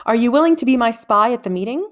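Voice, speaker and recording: A woman reading a children's book and voicing a gruff, impatient old male wizard who speaks curtly. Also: on the high side, animated, fast, pitched above the performer's own voice, close to an everyday voice. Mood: curt, commanding. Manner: curt, commanding. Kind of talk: children's book read-aloud character voice.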